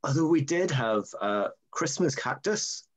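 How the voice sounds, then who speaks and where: A man talks casually over a video call. He sounds chatty and a little hesitant, and he fills the gaps with ums. His voice sits at a middle pitch. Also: animated, measured pace. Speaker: guest. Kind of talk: casual chat.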